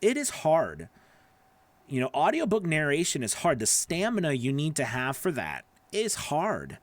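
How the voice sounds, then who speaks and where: A man's voice: a little high, huge pitch swings, measured pace, no room sound. Emphatic man coaching in a dry studio. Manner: emphatic, encouraging, repeats phrases for stress. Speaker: host, voice-over coach. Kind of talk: casual livestream advice, answering viewer questions. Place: small home studio, indoors. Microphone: close studio microphone on boom arm.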